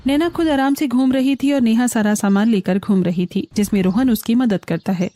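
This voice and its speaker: A woman's voice: on the high side, normal speed, warm tone. A woman reading scripted narration for a cartoon family story.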